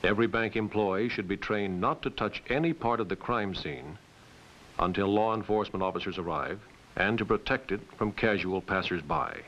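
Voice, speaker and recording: A man reading scripted documentary narration in a measured, authoritative way. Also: fairly low pitch, a bit bright. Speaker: training film narrator. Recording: muffled hissy old film soundtrack.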